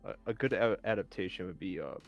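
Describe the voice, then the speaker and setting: A man's voice: low-pitched, quick pace, dull audio, soft background music. A man chatting casually as a panelist on a livestream round table, often repeating words as he starts. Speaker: panelist in a video game discussion.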